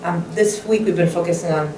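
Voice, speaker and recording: A female French teacher speaks to parents thoughtfully, pausing and restarting her sentences. Her voice is lowish and comes from far off in an echoey room with audible hiss. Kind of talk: addressing parents at a school open evening. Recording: echoey classroom from far off, background noise.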